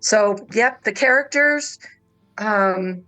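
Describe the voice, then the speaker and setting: Woman around sixty, bright forceful voice, enthusiastic, halting repeated words, casual panel chat, music behind. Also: mid-range voice, rough recording. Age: about sixty. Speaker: panel guest on a radio discussion. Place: radio roundtable discussion.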